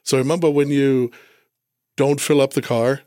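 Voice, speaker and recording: A man's voice: mid-range voice, steady tone, normal speed, warm tone, no room sound, studio quality. A man from Germany with a German accent, talking casually as a business guest in an interview.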